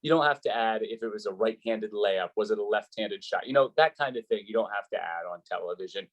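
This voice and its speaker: A man's voice: lowish voice, brisk. An American man, a sports play-by-play announcer, giving advice conversationally in an interview and explaining with examples and rhetorical questions.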